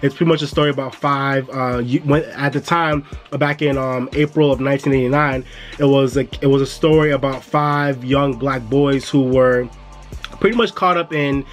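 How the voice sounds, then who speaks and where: A man's voice: mid-range voice, fairly even intonation, moderate pace, soft background music. Stuffy-sounding man chatting with viewers. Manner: laid-back and chatty, addresses viewers as "y'all". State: stuffy, coming down with a cold. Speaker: music and entertainment YouTuber. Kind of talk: casual review vlog.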